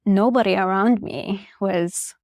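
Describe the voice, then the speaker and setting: A woman's voice: medium pitch, takes it slowly, dry recording, clean studio sound. A young woman from Iraq whose first language is Chaldean, a public health researcher talking reflectively in an interview. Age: young adult. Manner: soft-spoken, reflective, frequent "like" and restarts. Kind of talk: interview conversation.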